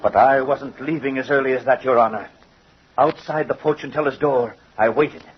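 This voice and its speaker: An actor playing a vengeful, gloating doll-maker in old-time radio horror, with a theatrical, menacing and mocking manner. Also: male voice, mid-range voice, steady tone, normal speed, close to an everyday voice. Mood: confessing. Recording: husky, muffled old radio recording.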